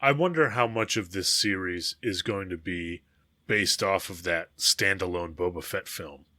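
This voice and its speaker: A man's voice: low-pitched, unhurried, bright, forceful tone, dry recording, studio quality. A man co-hosting a casual podcast, speaking earnestly, often in short agreeing bursts. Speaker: podcast co-host. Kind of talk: casual chat.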